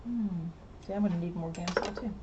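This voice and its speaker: A woman's voice: fairly low pitch, fairly quick, muffled recording, noisy background. A middle-aged woman teaching a painting class, chatting and laughing as she works in an indoor studio. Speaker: painting instructor. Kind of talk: casual chat while painting live.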